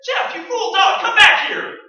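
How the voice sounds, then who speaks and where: Roomy distant stage recording, a man playing a wisecracking skeptical radio reporter, sharp and forceful, excitable, radio drama. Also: very high voice, a little slow, muffled recording, slight background noise, exaggerated voice. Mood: panicked. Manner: wisecracking, excitable, narrating aloud. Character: brash skeptical male radio host on a ghost hunt. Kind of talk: staged radio play acting.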